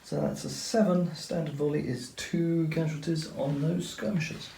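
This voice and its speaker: Man, very gravelly voice, echoey room, methodical rule-by-rule commentary on a tabletop battle. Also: on the high side, fairly even intonation, normal speed, dark tone, a little hiss or hum. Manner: methodical, explains rules step by step. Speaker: wargame player explaining turns.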